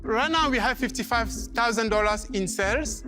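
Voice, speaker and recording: A man from Cameroon, an English speaker, pitching his food business with showy enthusiasm on a TV clip. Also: moderate pace. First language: other than English. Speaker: entrepreneur pitching. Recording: TV clip with music.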